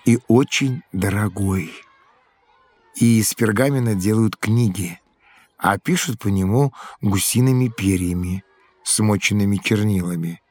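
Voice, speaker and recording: An actor playing a folksy schoolmaster of old Rus in an educational audio drama, explaining things expressively. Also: male voice, lowish voice, a little slow, darkish tone. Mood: instructive. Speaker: teacher.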